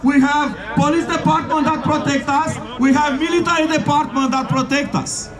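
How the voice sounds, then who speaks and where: A man giving a shouted rally speech at an outdoor street protest, in halting English with slips of grammar. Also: very high-pitched, steady tone. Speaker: protest speaker. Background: faint crowd.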